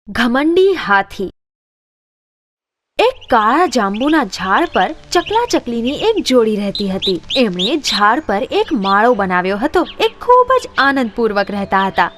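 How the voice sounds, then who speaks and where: A woman narrating scripted children's moral stories in an expressive storytelling manner. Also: on the high side, no room sound.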